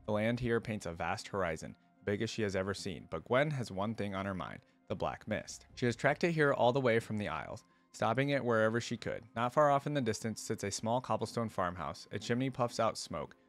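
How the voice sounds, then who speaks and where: Low-voiced man reading and reacting. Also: animated, brisk, few pauses, soft background music. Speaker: reaction video host. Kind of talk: reading a story aloud with casual commentary.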